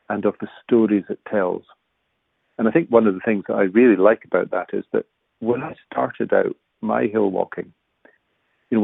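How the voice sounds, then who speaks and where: A man's voice: lowish voice, creaky, slightly rough, no room sound. A man from Scotland giving a thoughtful interview about walking and pilgrimage, with frequent restarts. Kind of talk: reflective conversational interview. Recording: dry, tinny phone-like line.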